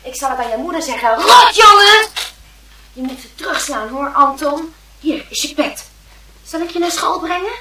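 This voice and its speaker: A woman playing a feisty, protective maid in a radio play, scolding bullies in loud, colloquial speech. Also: high voice, lively intonation, moderate pace. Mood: protective, softer. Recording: distant, echoey, with crowd noise. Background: crowd noise.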